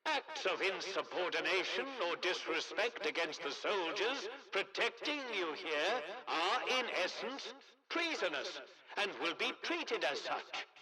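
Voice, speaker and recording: A high-voiced military announcer delivers scripted propaganda for a video game. He is formal and clipped, with a polite authoritarian menace. The voice comes through a public loudspeaker, with clear background voices behind it. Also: sing-song, a little slow, a crowd in the background, low-quality recording. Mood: stern, official. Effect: village loudspeaker, radio-like PA.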